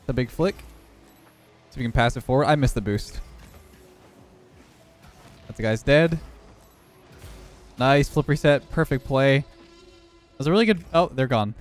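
A young man talking through his own matches in a relaxed, steady running commentary. His voice is hoarse and a little rough from having lost it earlier, sitting at an ordinary middle pitch. Faint game music plays under him. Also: unhurried, long pauses. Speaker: gaming YouTuber. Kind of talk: live gameplay commentary.

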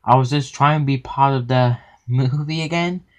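A man's voice: mid-range voice, moderate pace, close to an everyday voice. A man voicing an apologetic, dejected red steam engine who stammers sheepishly in a children's story.

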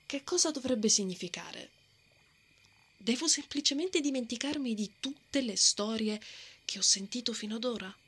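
A woman's voice: a little high, fairly bright tone, breathy edge, full character voice. A woman playing a brave, stubborn and protective female knight in a fantasy ASMR roleplay. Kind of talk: ASMR romantic fantasy roleplay, acted monologue.

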